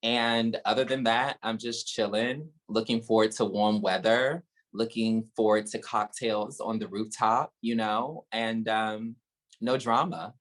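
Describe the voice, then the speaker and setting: A young man hosting a casual podcast chat, animated, laughing often and swearing playfully. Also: fairly low pitch, measured pace. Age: young adult. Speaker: podcast host.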